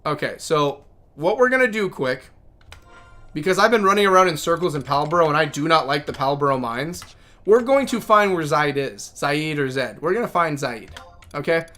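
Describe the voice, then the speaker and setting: A man's voice: a little high, expressive, normal speed, bright, forceful tone. A man in his thirties streaming a game, thinking aloud in a rambling, chatty way. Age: 30s. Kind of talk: casual livestream chat.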